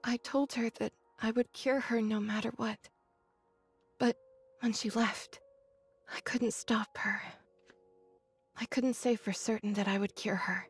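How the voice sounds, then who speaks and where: An actress playing an earnest, scholarly young android researcher in a video game, speaking in a measured, precise way. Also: female voice, on the high side, steady tone, husky. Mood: regretful, resolute. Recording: dry studio voice, faint game music.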